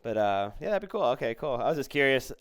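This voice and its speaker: A man's voice: mid-range voice, very animated, fast talker. A man hosting a casual interview, talking informally and often stumbling over and repeating words. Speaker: interviewer, podcast host.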